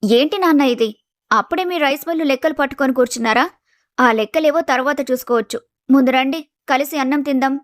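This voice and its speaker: Actress as sweet young college girl, high pitch, fond protesting manner, dry studio-like sound, family moral story. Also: fairly quick, no room sound, natural voice. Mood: gently teasing. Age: young adult. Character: affectionate young daughter back from city college. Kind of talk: dramatised moral story acting.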